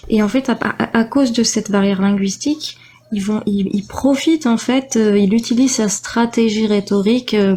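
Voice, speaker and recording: A woman's voice: mid-range voice, a little mellow, a little hiss or hum. A woman on a live online voice call giving earnest feedback, explaining her point at length with fillers. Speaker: debate panelist giving feedback. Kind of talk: casual live-stream discussion.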